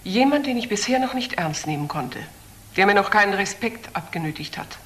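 An actress playing a curt, authoritative older aunt, a proud doctor, in a crime drama. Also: female voice, lively intonation, a little fast, a bit bright, distant mic, lightly acted. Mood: stern, curt. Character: stern, proud woman doctor, a young man's aunt. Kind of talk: German crime drama acting. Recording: background noise, car rumble in places.

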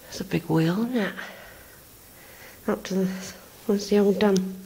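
A woman's voice: medium pitch, measured pace, creaky, dark tone, slight background noise, low-quality recording. A woman with a West Country English accent giving a casual running commentary while filming a country church, chatty and trailing off as she goes. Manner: chatty, talks to herself, trails off. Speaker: vlogger touring a church. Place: outdoors by a country church, then inside it. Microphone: handheld camera mic.

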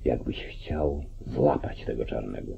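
An actor playing a calculating, commanding judge and politician in an old radio play, speaking deliberately. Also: male voice, very deep voice, creaky, full character voice. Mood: scheming, commanding. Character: calculating, domineering small-town judge, older male politician. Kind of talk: mid-century Polish radio play acting. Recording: muffled, narrow-band 1940s radio recording.

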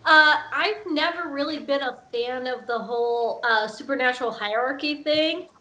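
A woman's voice: high voice, normal speed, sharp tone, a little hiss or hum. A woman on an online panel, speaking casually and opening her turns with hesitant fillers. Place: home room. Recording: muffled video call audio.

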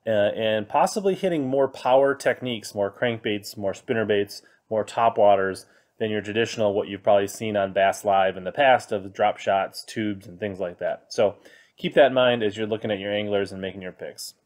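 A man's voice: on the low side, moderate pace, darkish tone. A man in his thirties hosting a fantasy fishing podcast, chatting casually through his picks with plenty of fillers. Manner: conversational, many fillers, reading from web pages. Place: indoor room, screen recording with webcam inset.

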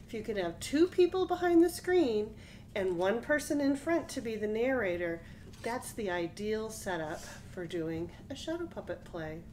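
A woman's voice: medium pitch, very animated, moderate pace, a little mellow, slight background noise. A middle-aged American woman presenting a craft demonstration for children, explaining step by step in simple phrases in a small room.

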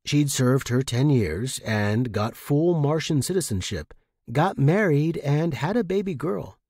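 Husky man, story narration, podcast host, lively intonation, dry recording. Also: on the high side, a little slow, no echo. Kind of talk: expressive first-person audiobook-style story narration.

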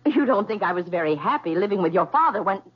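A woman's voice: medium pitch, lively intonation, fast, slightly rough. A middle-aged woman acting in a 1970s radio drama as a guarded, wounded mother of a grown son. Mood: guarded, strained. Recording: muffled vintage radio recording.

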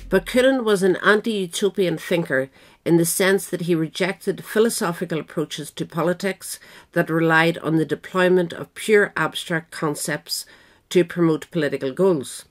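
A woman reads a nonfiction essay as an audiobook narrator. Her voice sits at a low pitch for a woman, and her delivery is measured and formal, following a written text. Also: faint music behind. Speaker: audiobook reader. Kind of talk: scripted narration of a political history essay.

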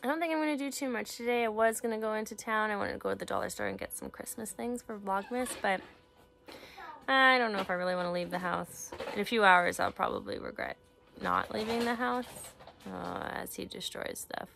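A woman's voice: mid-range voice, fairly quick, creaky, bright, forceful tone, slight background noise. A woman around her thirties chatting casually to a phone held at arm's length, like a family vlogger. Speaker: family vlogger, mother of young children. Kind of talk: casual chat to camera.

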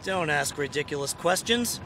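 A man playing a gruff, wisecracking, gun-toting churchman in an anime dub, with a wry, casual, sardonic delivery. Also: on the high side, lively intonation, moderate pace, noisy background, natural voice. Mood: brusque. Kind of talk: English anime dub acting. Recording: loud music under the voice.

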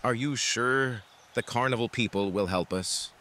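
A man's voice: medium pitch, a little slow, bright, forceful tone, a little hiss or hum. An actor playing an earnest, deferential father and devout follower in an audio drama.

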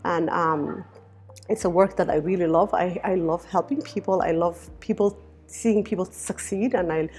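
A woman's voice: on the low side, a little mellow, slightly rough. A middle-aged woman speaking earnestly in an interview, repeating words as she goes. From Somalia. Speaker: community worker interviewee. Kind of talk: personal interview talk. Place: meeting room.

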